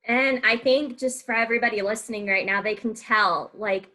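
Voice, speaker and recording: A woman's voice: on the high side, normal speed, pushed and bright. An American woman hosting a podcast, chatting informally with her guest in a casual, conversational interview style. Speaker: podcast host.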